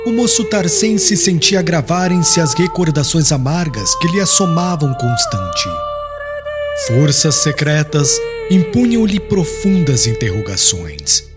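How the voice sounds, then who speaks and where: A man's voice: on the high side, sing-song, a little slow. A man with a Brazilian accent reading a literary audiobook in a formal, dramatic storytelling manner. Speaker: audiobook narrator. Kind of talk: scripted literary narration. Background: music.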